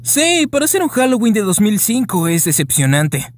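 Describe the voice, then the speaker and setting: A woman's voice: mid-range voice, very animated, normal speed, no echo, studio quality. A woman with a Latin American Spanish accent voicing a brash, callous young male hedgehog in a cartoon fan dub. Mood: flippant.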